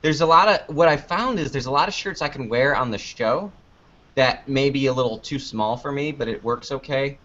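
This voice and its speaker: A man's voice: medium pitch, normal speed, fairly bright tone, highs cut off. A man being interviewed about the videos he makes, chatting casually in a rambling, jokey, self-deprecating way. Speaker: interview guest, online video maker. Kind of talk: casual unscripted interview chat.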